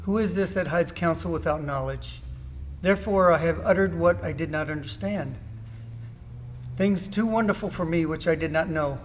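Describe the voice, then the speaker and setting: A man's voice: a little high, moderate pace, a little hiss or hum, lightly acted. A man playing a humbled, repentant believer in an amateur scripture drama, speaking earnestly and reverently. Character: Job, humbled repentant man addressing God.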